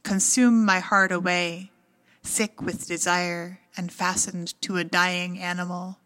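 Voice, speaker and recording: A woman reciting poetry with measured, deliberate phrasing. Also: on the low side, level tone, a bit bright, low-quality recording. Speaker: poetry reader.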